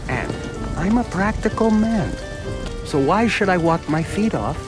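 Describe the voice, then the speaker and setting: An actor playing a naive, philosophical village simpleton telling a cartoon folk tale, in folksy, musing asides. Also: male voice, high voice, lively intonation, unhurried. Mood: philosophical, puzzled. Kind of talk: comic folk-tale narration for animated short. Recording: music behind the voice. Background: music.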